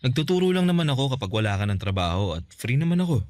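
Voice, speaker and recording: A man's voice: mid-range voice, big pitch swings, fast, a little mellow, no room sound, clean studio sound, lightly acted. An actor with a Filipino accent playing an earnest, protective young man smitten in a radio drama. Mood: earnest, lovestruck.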